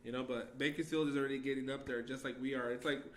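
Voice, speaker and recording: A man's voice: mid-range voice, steady tone, quick pace, slight background noise. A young man hosting a casual podcast chat, easygoing and slangy, often ending lines with "bro.". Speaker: podcast host.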